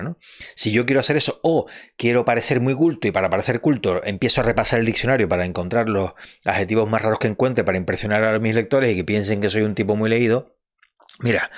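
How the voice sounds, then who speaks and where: A man, a novelist giving casual advice on writing, talking in a rambling way with restarts and turning emphatic on key points. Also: lowish voice. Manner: conversational, rambling with restarts and fillers; emphatic and earnest when stressing a point.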